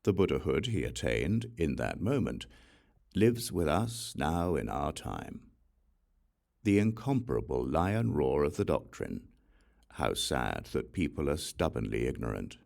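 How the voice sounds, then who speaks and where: A man reading an audiobook of sacred verse in a solemn, deliberate recitation with measured phrasing and pauses. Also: extremely low pitch, sing-song, creaky, darkish tone. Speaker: audiobook reader.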